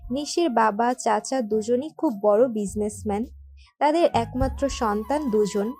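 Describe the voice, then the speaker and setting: A woman's voice: fairly high pitch, measured pace, warm tone. A woman reading scripted narration for a romantic story. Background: background music.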